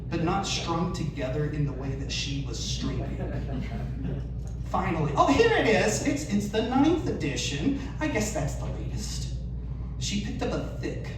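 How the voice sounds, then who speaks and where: Man, live humorous story reading, wry, distant from mic, clearly noisy room. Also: a little high, very echoey. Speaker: story reader.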